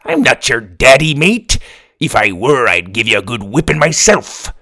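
A man voicing a gruff, bossy pirate storybook that talks in pirate slang and growls threats, read close and dry. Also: medium pitch, very animated, moderate pace, bright, forceful tone, dry recording, heavily acted.

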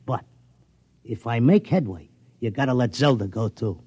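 A man's voice: mid-range voice, animated, normal speed, mellow, slightly rough, dry recording. An actor playing a stubborn, slangy racetrack tipster in a 1950s radio drama, speaking plainly as he tells his story. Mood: bargaining. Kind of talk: 1950s radio acting, first-person narration and dialogue. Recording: muffled old radio recording, music behind.

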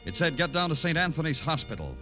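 An actor playing a weary, hardboiled police detective, brooding and poetic in the style of 1950s radio noir. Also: male voice, a little high, big pitch swings, quick pace. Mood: businesslike, somber. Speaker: detective narrator and lead. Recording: old radio recording with music behind.

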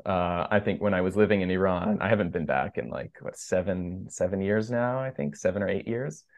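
A man's voice: deep voice, brisk, darkish tone. A man in his thirties, raised between two countries and a native Persian speaker, chatting as a podcast guest in a loose, rambling way full of fillers and restarts. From United States. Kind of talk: casual interview conversation.

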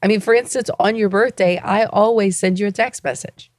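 A woman co-hosting a casual podcast, hoarse from a cold and talking in a wry, teasing way. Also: medium pitch, fairly even intonation, a little fast, a little mellow, no echo, clean studio sound. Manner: wry and teasing, laughs often. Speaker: podcast co-host.

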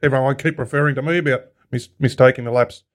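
A man's voice: medium pitch, fairly even intonation, fast. A man co-hosting a podcast, joking around. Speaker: podcast co-host. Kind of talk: podcast chat.

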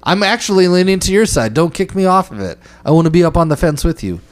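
A man in his thirties with a slightly high voice that swings up and down as he talks. He chats loosely and jokes with friends about a movie. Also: big pitch swings, fast. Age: 30s. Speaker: podcast co-host. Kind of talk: casual chat.

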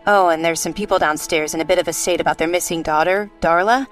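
A woman's voice: fairly low pitch, fairly even intonation, fast, faint music behind, close to an everyday voice. A woman playing a familiar, matter-of-fact secretary at a detective agency in a noir radio drama.

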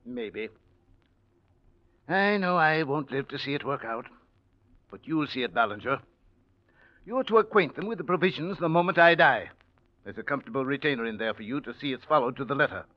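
An actor playing a stern, controlling, wealthy old uncle in 1940s radio drama, imperious and deliberate. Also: male voice, on the high side, expressive. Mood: coolly musing. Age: older. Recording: dull vintage radio recording.

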